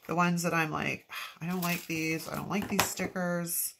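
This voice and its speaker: A woman's voice: lowish voice, little pitch movement, normal speed, vocal fry, a bit bright, poor audio. A woman with a stuffy, congested nose from allergies, chatting casually to herself and her viewers while she decorates a planner at a craft table. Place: at a craft table, filmed from overhead. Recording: noticeable background noise.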